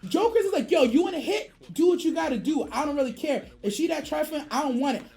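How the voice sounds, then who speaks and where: An American woman telling a story casually on a livestream, animated, profane and slangy, acting out the dialogue she quotes. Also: high voice, quick pace. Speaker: streamer. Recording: music behind the voice. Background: music.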